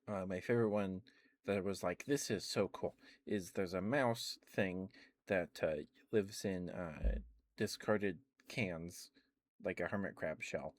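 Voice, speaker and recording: Male ASMR streamer, low and unhurried, soft-spoken rambler who gushes now and then. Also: no echo, clean studio sound. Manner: meandering, many ums and restarts; enthusiastic gushing at times.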